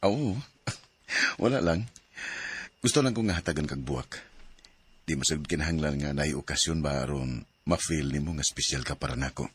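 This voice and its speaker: A man's voice: very deep voice, normal speed, pauses often, fairly bright tone, slightly rough, heavily acted. An actor narrating and playing an earnest, tender young man in a radio drama. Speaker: narrator and lead.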